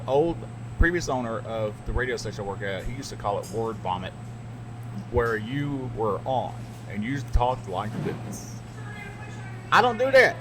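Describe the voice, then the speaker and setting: A man's voice: medium pitch, rough recording. A middle-aged man, a radio DJ, co-hosting a podcast and telling stories in rambling casual chat. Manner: rambling, laughs at his own stories.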